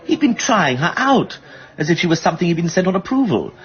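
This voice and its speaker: An actor playing a well-bred, insistent amateur sleuth in a radio drama, with an upper-class English accent and a plummy, drily witty delivery. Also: male voice, high voice, sing-song, fast talker, husky, muffled recording, heavily acted. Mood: insistent, indignant. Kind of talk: British radio drama acting.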